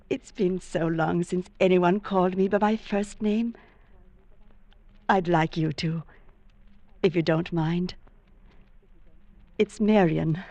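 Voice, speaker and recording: A tender, lonely single lady of old radio drama. Her voice is soft, dark and closely recorded with little room sound. She speaks haltingly, genteel and wistful. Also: fairly low pitch, fairly even intonation, no room sound. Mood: wistful, shy. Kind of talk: old-time radio romantic suspense acting.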